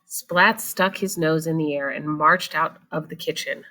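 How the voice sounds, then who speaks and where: A woman's voice: sits low, steady tone, unhurried, bright, forceful tone. A woman storyteller reading a children's picture book expressively and explaining it to young listeners. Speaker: read-aloud storyteller.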